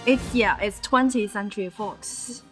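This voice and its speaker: A woman in her thirties from mainland China, a Chinese speaker with a Chinese accent touched by Scouse, giving a conference talk in measured short phrases. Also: medium pitch, music in the background. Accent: Chinese with a Liverpool (Scouse) tinge. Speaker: conference presenter. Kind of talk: personal storytelling talk.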